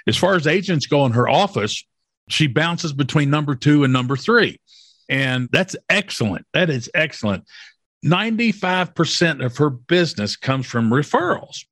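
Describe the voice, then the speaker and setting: A man's voice: fairly high pitch, animated, moderate pace, fairly bright tone, no echo. Man, interview host, emphatic, repeats himself, asks "okay?", dry recording. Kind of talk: promotional interview.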